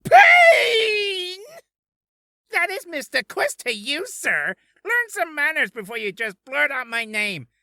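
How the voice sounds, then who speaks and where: A man voicing a bossy, short-tempered ventriloquist's dummy with a loud, scolding manner, for a character reel. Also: very high-pitched, very animated, takes it slowly, vocal fry, no echo, clean studio sound, heavily acted. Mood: indignant.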